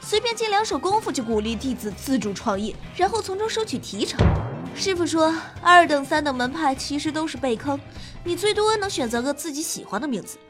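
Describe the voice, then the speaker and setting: Woman, high-pitched voice, very lively intonation, playful dramatic gaming host, scripted delivery. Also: fairly quick. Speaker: game show host and streamer. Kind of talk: scripted gaming news narration. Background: background music.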